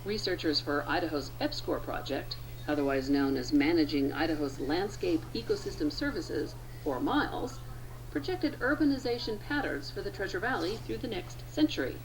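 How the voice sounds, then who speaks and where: A woman's voice: lowish voice, animated, normal speed, a little hiss or hum, low-quality recording. A woman with an American accent reading scripted news narration as a science reporter.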